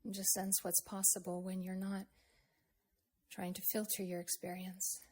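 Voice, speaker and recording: A woman speaks as a meditation guide in a husky, breath-laden voice at a medium pitch. Her intonation stays flat and steady. She is gentle and takes her time, letting long pauses fall between short phrases. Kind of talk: slow guided meditation, with a poem recited.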